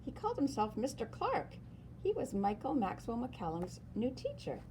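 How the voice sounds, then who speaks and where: A woman reads a children's story aloud expressively, with dramatic emphasis and pauses. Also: fairly high pitch, huge pitch swings, background noise. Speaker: storyteller reading a picture book aloud.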